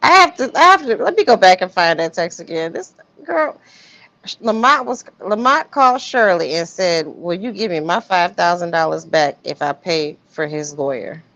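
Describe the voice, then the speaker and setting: Lower-pitched woman, casual stream host. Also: lively intonation, normal speed, fairly bright tone. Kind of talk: casual commentary on text messages. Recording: dull, lo-fi stream audio.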